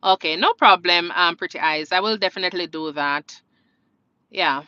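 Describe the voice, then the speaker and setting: A woman's voice: fairly low pitch, moderate pace, dry recording, highs cut off. A woman with a Jamaican accent hosting a casual live question-and-answer stream, chatting conversationally and addressing viewers directly. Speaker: live stream host, nurse.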